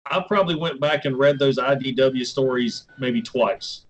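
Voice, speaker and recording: A man's voice: medium pitch, normal speed. A man from West Virginia with a West Virginia accent, hosting a casual interview in a laid-back, folksy way and laughing easily. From West Virginia, USA. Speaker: YouTube show host.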